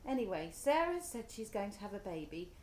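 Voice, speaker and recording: An English actress playing an excitable, fretful, teasing middle-aged mother in a radio play. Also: medium pitch, fairly quick, roomy sound, a little hiss or hum, close to an everyday voice. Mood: chiding. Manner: animated, fussing, chiding.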